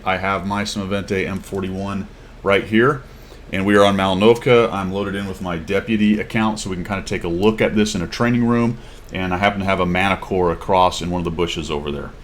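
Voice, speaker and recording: A man hosting a casual gameplay tutorial, explaining in a relaxed, chatty way with asides and fillers. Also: fairly low pitch, a little fast, slight background noise. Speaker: gaming channel host giving a tip.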